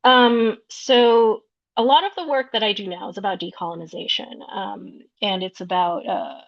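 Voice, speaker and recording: A woman from Peru, an artist, walking through slides of her work in an explanatory, unhurried way, searching for words. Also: mid-range voice, vocal fry, no echo. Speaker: visual artist. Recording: dull lo-fi recording, dry.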